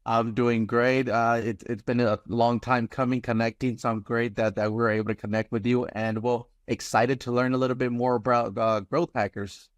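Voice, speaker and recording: A man's voice: mid-range voice, flat delivery, normal speed, hardly any pauses, no room sound. A man hosting an interview podcast in an informal, chatty way.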